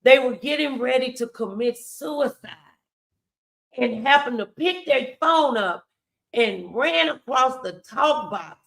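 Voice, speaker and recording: Lady host doing casual faith motivation talk, real bright voice, emphatic, talks right to viewers. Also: high voice, lively intonation, slow, vocal fry. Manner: emphatic, encouraging, addresses viewers directly.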